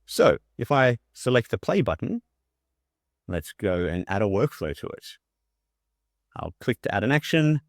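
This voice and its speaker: A man presenting a software tutorial as a casual screen-share walkthrough, talking informally and thinking aloud as he demonstrates. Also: mid-range voice, sing-song, measured pace, long pauses, no room sound, clean studio sound.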